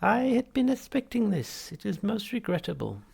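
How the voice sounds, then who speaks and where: Man putting on a high-pitched, falsely cheerful alien psychiatrist. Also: very animated, moderate pace, creaky, husky, heavily acted. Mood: smooth regret. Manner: unctuous, patronising, brightly over-articulated. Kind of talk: audiobook character voice.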